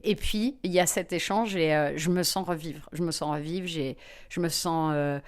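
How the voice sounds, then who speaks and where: A woman comedian, a guest in an interview, telling lively personal anecdotes with frequent fillers. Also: sits low, normal speed. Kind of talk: anecdotal storytelling in conversation.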